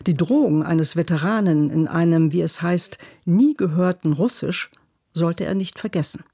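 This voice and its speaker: Female radio critic, measured literary delivery, dry close studio sound. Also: on the low side, dark tone, no echo. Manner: measured, precise literary reading. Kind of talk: scripted radio book review. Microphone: studio microphone.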